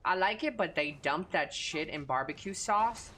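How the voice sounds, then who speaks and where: Crude Texan woman streamer, lively voice. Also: deep voice, normal speed, a little hiss or hum. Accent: Texan Southern. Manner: crude, profane, laid-back. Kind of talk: casual gaming stream chat.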